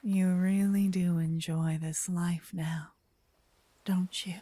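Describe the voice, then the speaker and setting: A woman playing a gentle, possessive, lovestruck vampire in a soft, intimate, breathy ASMR whisper, close to the ear. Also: on the low side, steady tone, no echo. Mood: teasing, possessive. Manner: intimate, slow, teasing. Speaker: ASMR roleplay performer. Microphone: close binaural ear-to-ear microphone.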